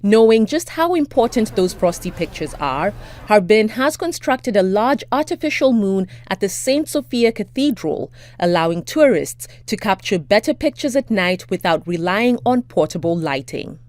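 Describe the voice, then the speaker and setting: A woman reporter narrating a scripted news feature in a lively, animated way. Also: mid-range voice, moderate pace, no echo. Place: outdoors in a snowy city.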